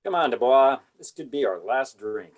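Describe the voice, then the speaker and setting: A man's voice: medium pitch, lively intonation, normal speed, close to an everyday voice. A man playing an easygoing, hearty military squad leader with breezy delivery in an amateur table read.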